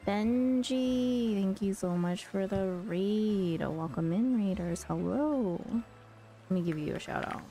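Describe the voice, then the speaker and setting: A woman's voice: mid-range voice, measured pace, mellow, soft background music. A woman chatting casually on a live game stream as its host, thinking aloud in a friendly, chatty way.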